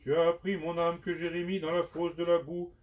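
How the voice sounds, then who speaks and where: A man giving a slow, solemn liturgical recitation of penitential prayers in measured, prayerful phrases. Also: fairly high pitch, little pitch movement, faint music behind. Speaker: liturgical reader. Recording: dull sound from far off the mic.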